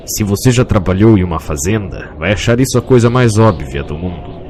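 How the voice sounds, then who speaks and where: Male narrator, low pitch, Brazilian accent, scripted fantasy storytelling, soft music behind.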